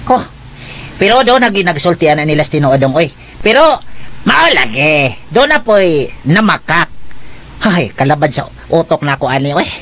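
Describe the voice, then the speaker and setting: A man's voice: fairly high pitch, very animated, moderate pace, fairly bright tone, full character voice. A man playing a fussy, grumbling older household companion in a radio drama, exclamatory and complaining.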